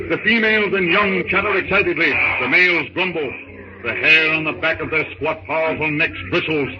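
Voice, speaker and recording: A man narrating a scripted old radio drama in a dramatic, suspenseful, declamatory manner, on a muffled, very noisy recording. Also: fairly high pitch.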